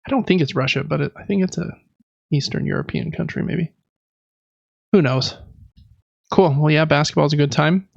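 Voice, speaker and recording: A man with a slightly high, lightly creaky voice chats casually as a podcast co-host. He is easygoing and talkative. The close, dry recording sounds a little dull. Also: moderate pace, pauses often, no echo. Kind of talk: casual chat.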